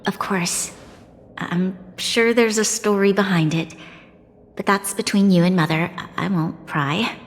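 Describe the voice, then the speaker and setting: A woman's voice: medium pitch, fairly even intonation, measured pace, natural voice. An actress voicing a composed, considerate young female ruler in a video game, formal, polite and tactful. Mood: kind. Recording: long hall reverb, music over the voice. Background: music.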